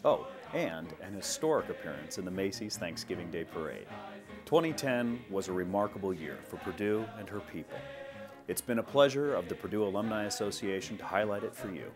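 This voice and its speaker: A man's voice: low-pitched, lively intonation, brisk, over music. A man presenting a scripted promotional sign-off as a newsreel presenter.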